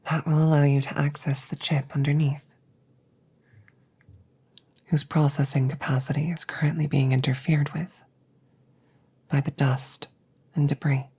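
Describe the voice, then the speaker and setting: A woman playing a calm, reassuring damaged female android in an ASMR audio roleplay, speaking in soft, breathy whispers with measured, formal phrasing. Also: deep voice, heavily acted. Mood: calm, instructing. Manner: slow, measured, precise formal phrasing with pauses.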